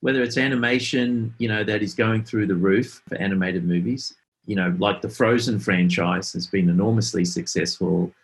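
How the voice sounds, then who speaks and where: A man with a slightly low voice hosts a casual interview. He talks in a chatty way and wanders through his questions as he builds them. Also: moderate pace.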